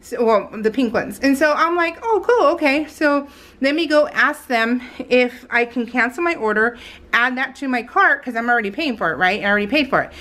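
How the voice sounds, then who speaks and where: A woman's voice: a little high, normal speed. Chatty, rambling American woman vlogger. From California, United States. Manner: rambling, animated retelling with acted-out quotes. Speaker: craft vlogger. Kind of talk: casual chat while crafting, voiced in real time.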